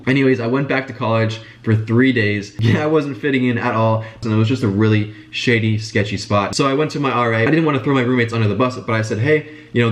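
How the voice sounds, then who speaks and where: A young man telling his story in a casual vlog while congested and sick with a stuffy nose. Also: lowish voice. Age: young adult. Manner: fast, chatty, informal. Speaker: business vlogger.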